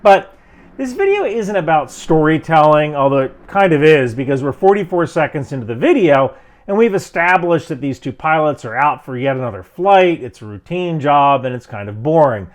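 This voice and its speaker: Animated American man, higher-pitched voice. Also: moderate pace. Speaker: video host, military veteran. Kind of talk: explanatory commentary to camera.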